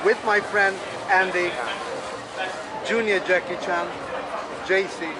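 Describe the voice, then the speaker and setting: A man's voice: sits high, steady tone, slow, low-quality recording. A man hosting a vlog, stopping passers-by for playful, teasing street interviews. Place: busy outdoor street festival at night. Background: loud crowd.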